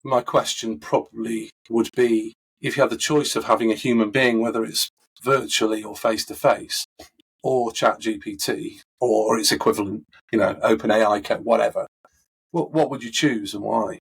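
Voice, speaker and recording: A man with a husky, recovering voice, answering interview questions in a thoughtful, conversational way with frequent fillers and restarts. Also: fairly low pitch, steady tone, moderate pace, rough recording. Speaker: coaching expert guest.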